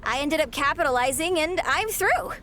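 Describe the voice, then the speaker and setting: A high-pitched, friendly young woman wrestler in a game cutscene. She chats casually with a playful touch, over faint noise. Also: expressive, normal speed, sharp tone. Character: chatty, friendly young female wrestling rival. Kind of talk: scripted video game cutscene acting.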